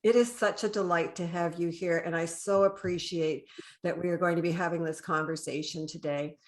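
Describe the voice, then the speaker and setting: A woman's voice: lowish voice, fairly even intonation, normal speed, darkish tone. A woman with a Canadian accent, speaking as the host of a podcast interview.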